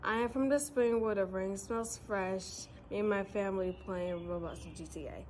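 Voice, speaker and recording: A young girl student reading her own poem aloud, steady and careful. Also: mid-range voice, normal speed. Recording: faint background noise.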